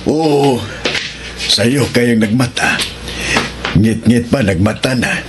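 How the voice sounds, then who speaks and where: A man's voice: mid-range voice, takes it slowly, heavy background noise. A man acting an easygoing, homely, fatherly older man in a radio drama.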